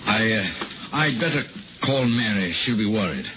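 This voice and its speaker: Through the hiss and narrow tone of a 1950s radio broadcast, a devout, troubled minister. He is grave and halting, and his lowish man's voice rises and falls widely as a morally firm friend. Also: expressive. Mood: uneasy, evasive. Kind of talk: 1950s radio drama acting. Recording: scratchy, tinny 1950s radio broadcast, noisy.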